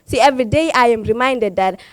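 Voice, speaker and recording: A woman's voice: fairly high pitch, fairly quick, dry recording. A young woman performing spoken word poetry at a slam, impassioned, with a repeated refrain and rising emphasis. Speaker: slam poet.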